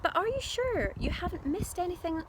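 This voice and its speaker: Small storybook boy, played by a woman, hesitant, earnest and pleading, pitched high. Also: very animated, moderate pace, background noise. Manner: pleading, cautious. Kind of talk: children's storybook reading.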